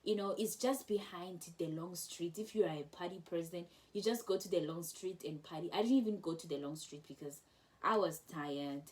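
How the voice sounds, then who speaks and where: A young woman casually telling a travel story to camera, chatty and animated, with many restarts. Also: on the low side, normal speed, poor audio. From South Africa. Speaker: travel vlogger.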